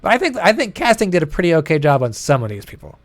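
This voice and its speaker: A man's voice: on the high side, huge pitch swings, rapid-fire, no echo. A man co-hosting a gaming show in casual chat, joking and swearing in an informal way. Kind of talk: casual chat, reacting to old film trailers.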